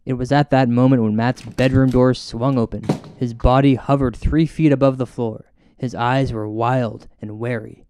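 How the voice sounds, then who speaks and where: A man hosting and narrating a scripted comic horror story in a deadpan, mock-solemn way. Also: mid-range voice, moderate pace, hardly any pauses, clean tone, no echo. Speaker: host and storyteller. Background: music.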